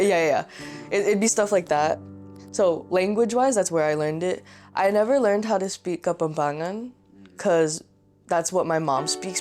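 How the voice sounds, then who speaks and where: A woman's voice: on the low side, a little mellow. A young woman chatting casually in a documentary interview, with lots of filler words.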